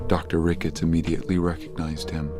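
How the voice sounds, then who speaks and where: An actor serving as the narrator of an audio drama, reading scripted scene descriptions. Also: male voice, rumbling low, monotone, fast talker, music in the background. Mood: measured.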